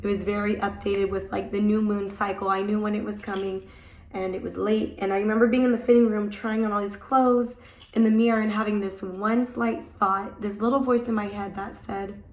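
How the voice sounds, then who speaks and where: A young woman vlogging in a casual, rambling way, her voice hoarse from a cold and just coming back. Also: medium pitch, little pitch movement, a little fast, reverberant. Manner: chatty, rambling, many "like" fillers. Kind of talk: casual chat to camera. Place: at home.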